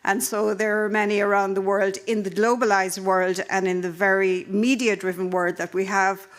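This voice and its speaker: A woman with an Irish accent moderating a conference panel, measured and steady, with frequent fillers and restarts. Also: mid-range voice. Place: conference hall.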